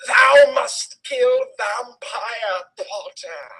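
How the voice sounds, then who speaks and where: A man voicing a cruel, commanding dark wizard villain with drawn-out, archaic, imperious speech. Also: extremely high pitch, breathy edge, voice pushed up, full character voice.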